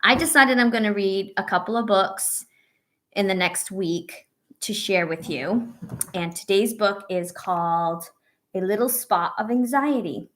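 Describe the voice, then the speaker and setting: A woman reading a picture book aloud to young children as a teacher, pausing to ask questions and offer encouragement and reassurance. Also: mid-range voice, fairly bright tone, a little hiss or hum. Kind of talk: read-aloud story with asides to young children.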